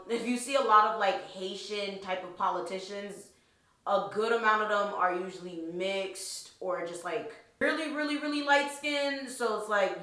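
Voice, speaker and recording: A young adult woman chatting casually, animated, blunt and profane. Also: mid-range voice. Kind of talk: casual chat. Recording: echoey room.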